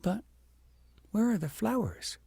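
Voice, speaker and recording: A plaintive little storybook princess, put on by a man, speaks in a raised, husky voice. She is earnest and piteous, with a creaky edge to her words. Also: high voice, very animated, unhurried, dark tone, no echo, pitched above the performer's own voice, exaggerated voice. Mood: wondering. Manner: piteous, pleading, wondering.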